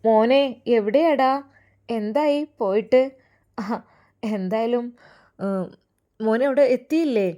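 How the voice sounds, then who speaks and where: A woman's voice: a little high, takes it slowly, pauses often, dark tone. A woman storyteller voicing a concerned mother who anxiously questions and consoles her grown children.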